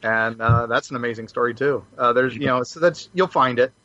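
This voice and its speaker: A man's voice: medium pitch, a little fast, a crowd in the background. A middle-aged man chatting casually as a podcast panelist on lo-fi audio. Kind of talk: casual chat. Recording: dull, lo-fi audio.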